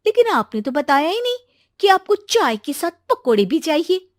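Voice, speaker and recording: A woman voicing an eager, outspoken young bride-to-be in an animated, chatty way for a story. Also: very high-pitched, moderate pace, dry recording, clean studio sound, voice pushed up. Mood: defensive.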